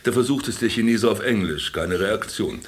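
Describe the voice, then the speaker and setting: A man's voice: on the low side, moderate pace, close to an everyday voice. An actor playing a sardonic former businessman in a radio play, speaking with dry irony and a theatrical, lecturing manner. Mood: joke-telling. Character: sardonic former businessman stranded in a camp, teasing his companion. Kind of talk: German radio play dialogue.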